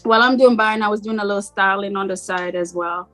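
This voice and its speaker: A middle-aged woman with a slight Jamaican accent, talking about herself in a relaxed, rambling way as an interview guest. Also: mid-range voice, fast, soft background music. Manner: relaxed, rambling, frequent "like" fillers. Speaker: boutique owner guest. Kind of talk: casual interview chat.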